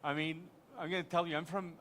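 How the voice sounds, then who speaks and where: A man's voice: fairly high pitch, level tone, quick pace, a bit bright, a little hiss or hum. A man from Quebec with a French-Canadian accent, a native French speaker, speaking as a panelist at a live conference and rambling enthusiastically with many restarts.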